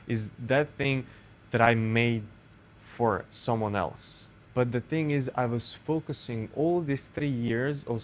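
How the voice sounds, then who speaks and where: A man's voice: medium pitch, slight background noise. A young man from Serbia with a Serbian accent, speaking English as a second language in a rambling, self-correcting casual monologue to the camera. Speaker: vlogger.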